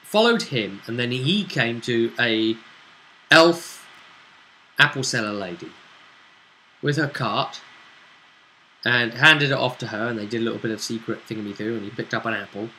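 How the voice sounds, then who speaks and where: A man acting as game master, recapping a tabletop role-play session in a casual, halting storytelling way. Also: medium pitch, sharp tone, slight background noise, rough recording.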